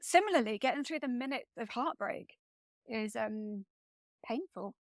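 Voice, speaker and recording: A woman of about forty with a British accent and a slightly high voice. She chats casually as an author in an interview, recorded dry and close. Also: lively intonation, normal speed, no echo. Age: late 30s to 40s. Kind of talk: casual conversational chat.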